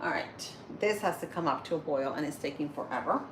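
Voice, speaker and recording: A woman's voice: low-pitched, brisk, background noise. Chatty woman with a Spanish-influenced accent. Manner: chatty, rambling, answers viewer comments as she goes. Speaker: livestream host. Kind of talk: casual morning livestream chat while cooking.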